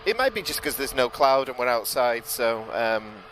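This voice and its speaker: A man's voice: mid-range voice, normal speed, a little hiss or hum, low-quality recording. Chatty British man commentating outdoors. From United Kingdom. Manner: relaxed and chatty, with frequent ums and self-corrections. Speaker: live plane-spotting commentator. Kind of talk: live-stream commentary, reading out viewer chat. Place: outdoors at an airport at night.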